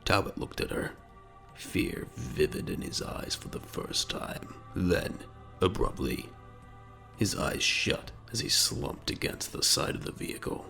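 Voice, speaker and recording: A man's voice: low-pitched, measured pace, vocal fry, sharp tone, faint music behind. A man delivering dramatic scripted narration for an audio drama.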